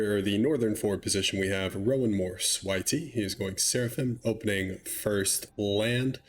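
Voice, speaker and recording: Nasal, stuffy-nosed male game caster. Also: lowish voice. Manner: relaxed, chatty, wry asides. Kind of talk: video game commentary.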